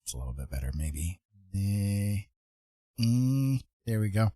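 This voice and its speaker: A man hosting a casual livestream, chatting to viewers in a rambling, playful, husky voice. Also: lowish voice, very animated, unhurried, dark tone, no room sound, clean studio sound. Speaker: livestream host. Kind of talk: casual chat to live viewers.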